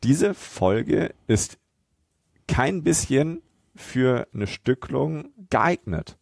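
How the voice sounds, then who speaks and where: A man's voice: mid-range voice, very animated, very slow, vocal fry, darkish tone, slightly rough, no echo, clean studio sound. A man moderating a podcast in a casual conversational style.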